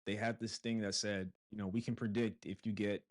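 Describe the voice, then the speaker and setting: A man's voice: on the low side, level tone, brisk. A man from Brooklyn, a podcast guest telling a story in a casual, conversational way with some fillers and restarts. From Brooklyn, New York. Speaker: podcast guest, real estate investor. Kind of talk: casual interview chat.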